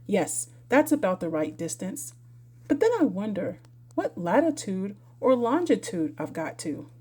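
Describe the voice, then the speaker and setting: A woman's voice: medium pitch, sing-song, measured pace, dark tone, husky. A woman voicing a curious, chatty young girl who muses aloud to herself in a storybook reading. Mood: musing. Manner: musing aloud, self-questioning.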